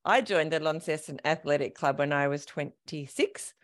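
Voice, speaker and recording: Australian woman in her fifties, eager chatty interviewer, lowish pitch. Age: 50s. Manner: enthusiastic, chatty, quick to laugh. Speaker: podcast host. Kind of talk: casual interview chat.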